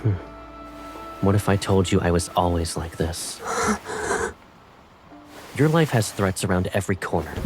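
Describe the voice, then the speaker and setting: An actor playing a possessive, protective young military colonel in a video game, speaking with controlled, intimate intensity. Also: male voice, lowish voice, a little mellow. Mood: wry. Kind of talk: dramatic video game cutscene acting.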